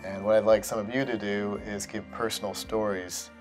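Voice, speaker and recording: Man, lowish flat voice, group leader, meeting talk, music underneath. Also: moderate pace. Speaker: hotel owner leading an advocacy group.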